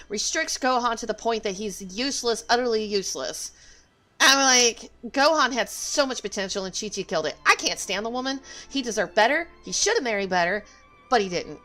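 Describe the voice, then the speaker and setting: A woman's voice: on the high side, sharp tone. A woman game streamer talking casually on a livestream and reading game dialogue aloud. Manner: opinionated, emphatic.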